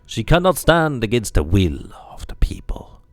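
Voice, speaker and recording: A man's voice: medium pitch, sing-song, normal speed, no echo, natural voice. A man voicing a zealous male priest preaching fervently about a god of portals. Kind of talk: video game character reading.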